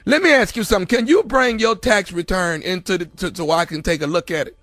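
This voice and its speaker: A man playing a pompous, pushy church money collector in a deadpan prank call, insistent and preachy, often stammering. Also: high-pitched, fairly quick, no echo, clean studio sound. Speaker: prank caller on a radio show.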